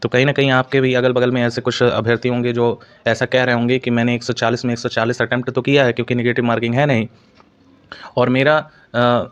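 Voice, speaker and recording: A man's voice: mid-range voice, level tone, fast talker, clean tone, dull audio. A man explaining exam information to students as a channel host, in an explanatory way with repeated stock phrases.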